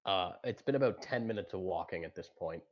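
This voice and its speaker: A man running a tabletop game as game master, improvising narration in a halting, explanatory way. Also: sits low, lo-fi sound.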